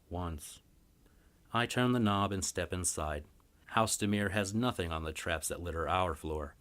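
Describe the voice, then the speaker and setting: A man's voice: sits low, fairly bright tone. A man reading a first-person fantasy story as scripted narration. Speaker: story reader.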